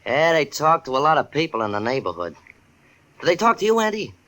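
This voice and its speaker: An actor playing a sly, needling pool-room hustler in slangy, taunting radio suspense style. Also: male voice, on the high side, big pitch swings, brisk, noisy background. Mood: probing.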